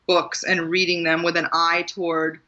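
A woman's voice: on the low side, flat delivery, normal speed, bright, forceful tone, lo-fi sound. An American woman talking casually and reflectively as the guest in an interview about her own experience. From Maryland, US. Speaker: guest author and writing teacher.

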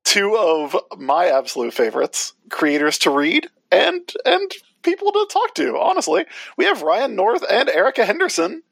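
A man's voice: high-pitched, huge pitch swings, normal speed, gravelly, few pauses, fairly bright tone, husky, dry recording. A man in his forties co-hosting a podcast, joking and self-deprecating in casual chat. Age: 40s. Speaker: podcast co-host.

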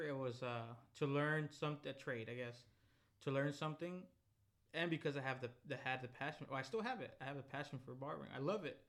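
Young man, twenties, relaxed slangy podcast chat, rambling restarts. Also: medium pitch. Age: 20s. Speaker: podcast co-host. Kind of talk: casual chat.

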